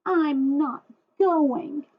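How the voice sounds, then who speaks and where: A woman voicing a sulky, anxious young schoolgirl in a storybook, with drawn-out whiny protests. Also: sits high, pitched above the performer's own voice. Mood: defiant. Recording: phone-like, muffled thin audio.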